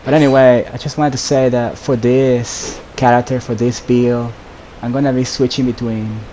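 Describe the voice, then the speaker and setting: A man's voice: mid-range voice, moderate pace. A man giving casual, rambling gameplay commentary in an informal way. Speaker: gameplay host. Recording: steady background hiss.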